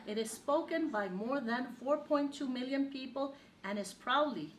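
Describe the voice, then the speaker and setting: A woman professor giving a measured, formal scripted narration. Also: high-pitched, reverberant, a little hiss or hum, low-quality recording. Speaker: education professor presenting.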